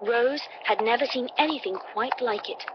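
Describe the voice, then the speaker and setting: A woman's voice: a little high, moderate pace. A woman reading a scripted story as an audiobook narrator. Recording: dull, noisy playback through computer.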